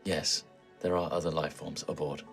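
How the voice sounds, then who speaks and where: A man voicing a disembodied ship's computer, measured, polite and deadpan, in a tabletop game. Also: very deep voice, vocal fry, soft background music, exaggerated voice. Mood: flat, apologetic. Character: calm, apologetic ship computer, a disembodied artificial intelligence.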